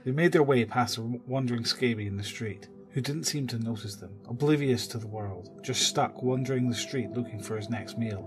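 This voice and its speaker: A man's voice: medium pitch, fairly quick, hardly any pauses. A man narrating a scripted horror audio drama.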